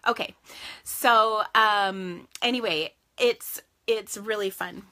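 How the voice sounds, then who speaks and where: A woman's voice: mid-range voice, slow, sharp tone. A woman with a Minnesotan accent chatting casually while hosting a live stamping stream, her voice tired and starting to go. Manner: chatty and rambling, laughs often. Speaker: stamping crafts host. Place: home craft office.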